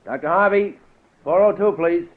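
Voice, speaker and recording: A man's voice: high voice, moderate pace, lightly acted. A man playing a placating hospital attendant, casual and humoring, in 1940s radio drama style. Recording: tinny, noisy old radio recording.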